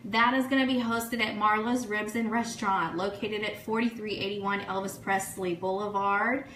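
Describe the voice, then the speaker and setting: A woman from the United States hosting a casual, chatty livestream radio show in a gushing, excitable way, full of thank-yous and shout-outs. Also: medium pitch, normal speed. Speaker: online radio show host. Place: room, live social media stream. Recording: echoey room, faint noise.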